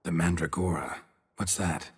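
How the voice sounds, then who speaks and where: A stoic, world-weary monster hunter for a fantasy video game. His voice is extremely low and very husky, gravelly at every turn. He speaks in terse, deadpan phrases and stays calm. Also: normal speed. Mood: curious, flat.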